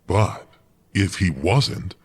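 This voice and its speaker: An actor playing a young man's mocking inner critic, who speaks in a slow, cutting, belittling way in an audio drama. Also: sits low, expressive, husky, full character voice. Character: Otto's cruel inner critic voice, male, mocking.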